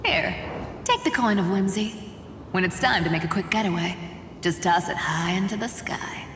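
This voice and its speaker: A woman's voice: medium pitch, expressive, moderate pace, background noise, natural voice. A woman voicing a sly, teasing young female cat-like thief in a video game, playful and wry. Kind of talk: dramatic video game voice acting. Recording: dramatic music behind the voice. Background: loud music.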